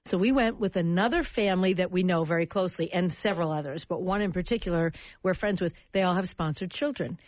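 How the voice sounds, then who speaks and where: An American woman, a radio guest, telling a heartfelt story in an animated, emotional way. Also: on the low side, fast talker, few pauses, no echo. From United States. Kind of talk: interview storytelling.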